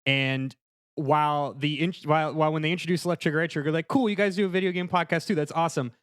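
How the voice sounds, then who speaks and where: Higher-voiced man, close dry sound. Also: fairly even intonation, fast, fairly bright tone, dry recording. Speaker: podcast panelist. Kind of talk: casual joking chat.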